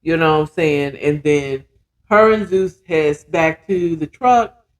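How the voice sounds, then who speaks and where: Slow-paced, dramatic storyteller with blunt slang. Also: mid-range voice. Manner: conversational and dramatic, acting out dialogue, repeating words for emphasis. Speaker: podcast host and storyteller. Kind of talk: casual, animated retelling of a story chapter with commentary.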